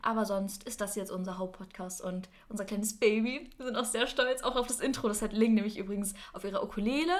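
A woman's voice: mid-range voice, fast talker, few pauses. A 21-year-old woman co-hosting a podcast, chatting casually in an enthusiastic, gushing and giggly way. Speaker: podcast co-host.

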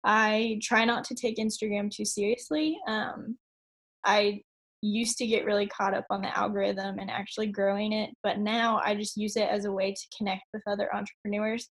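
A woman's voice: medium pitch, fairly even intonation, moderate pace, fairly bright tone. A woman in her late twenties, a podcast guest talking casually and upbeat, with frequent ums and little laughs. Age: 20s. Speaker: podcast guest, online entrepreneur. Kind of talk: casual interview chat.

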